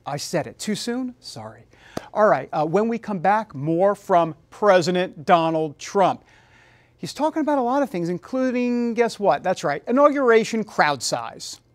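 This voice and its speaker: A man hosting a TV news show, interviewing a guest and linking segments in a brisk, wry way. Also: high voice, lively intonation. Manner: brisk, wry, frequent soft affirmations.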